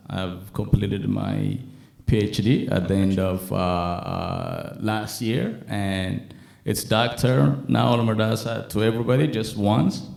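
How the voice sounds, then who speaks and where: A man's voice: fairly low pitch, vocal fry, a little mellow, slight background noise. A man from Ethiopia introducing himself on a conference panel, jokey and rambling, restarting phrases. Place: conference hall stage. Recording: echoey conference hall.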